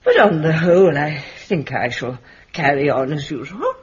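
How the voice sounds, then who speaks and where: A woman's voice: sits low, big pitch swings, moderate pace, breathy edge, exaggerated voice. A woman playing an imperious, eccentric old spinster in a radio drama, speaking in a grand, precise, commanding way. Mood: gracious, grand. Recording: dull lo-fi radio drama recording.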